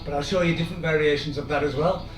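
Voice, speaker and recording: A man, hoarse and losing his voice, leading a live-streamed workout with chatty, encouraging asides. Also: a little high, fairly even intonation, rapid-fire, recorded from a distance. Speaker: fitness instructor. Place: room in an old house. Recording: echoey room, off-mic, music behind.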